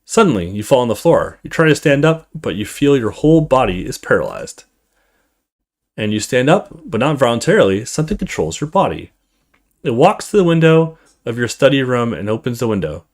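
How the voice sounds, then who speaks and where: A man's voice: medium pitch, big pitch swings, a little fast. A man hosting a gaming video, reading story text aloud expressively in a casual let's play style.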